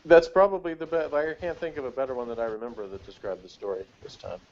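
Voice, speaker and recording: Male panelist, mid-pitched, casual and digressive, amused delivery, lo-fi video call sound. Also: fairly even intonation, fast. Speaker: panelist in a TV series review. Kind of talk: casual review chat. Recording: dull lo-fi video call audio.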